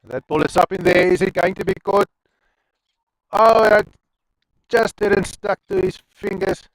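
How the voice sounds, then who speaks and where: A man's voice: high-pitched, fairly even intonation, unhurried, gravelly, no room sound, rough recording. A man with an Afrikaans accent giving chatty live commentary on an amateur cricket match, wandering into rambling asides between balls. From South Africa.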